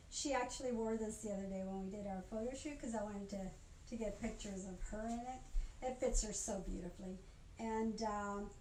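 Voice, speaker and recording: Older woman, higher voice, roomy echo. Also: darkish tone. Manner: chatty, halting, often trailing off to rephrase. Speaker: craft instructor. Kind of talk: informal product demonstration. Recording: roomy echo, faint background noise.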